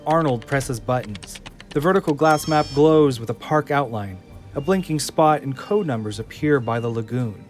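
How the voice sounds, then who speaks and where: A man's voice: mid-range voice, animated, moderate pace. Male narrator over music. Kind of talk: scripted narration of stage directions. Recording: music under the voice.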